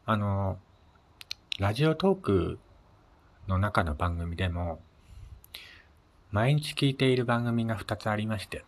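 A man's voice: on the low side, animated, unhurried, long pauses. A man hosting his own audio show alone, chatting casually in a polite, conversational way. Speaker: host of a personal audio show. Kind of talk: casual solo radio-style chat.

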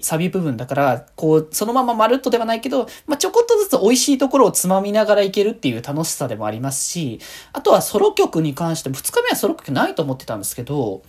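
A woman hosts a casual solo fan chat in a low-pitched, husky voice. Her intonation moves widely up and down. She rambles along with gushing, excited enthusiasm. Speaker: podcast host.

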